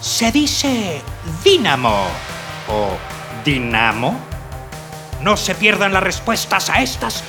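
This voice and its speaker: A man playing a measured, mock-suspenseful cliffhanger announcer in a scripted radio serial. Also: sits high, wildly swooping pitch, over music, exaggerated voice.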